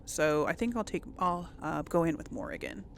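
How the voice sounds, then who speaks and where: A woman's voice: lowish voice, moderate pace, slight background noise. A woman hosting a let's play, commenting casually on a game and hesitantly thinking aloud.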